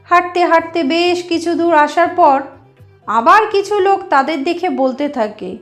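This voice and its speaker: High-pitched, unhurried woman storyteller. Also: faint music behind. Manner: addresses listeners directly, explanatory. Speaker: storytelling channel host. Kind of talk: narrated moral storytelling.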